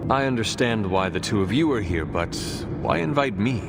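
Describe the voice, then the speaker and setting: An actor playing a courteous, reserved video game hero who speaks formally and politely. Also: male voice, on the low side, lively intonation, normal speed, creaky, noisy background. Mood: curious.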